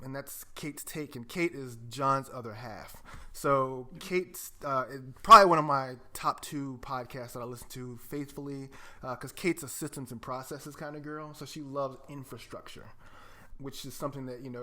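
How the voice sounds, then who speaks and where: A man's voice: mid-range voice, normal speed, bright, forceful tone. A man co-hosting a podcast, talking casually and emphatically as he recommends resources and often addresses the listener directly. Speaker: podcast co-host.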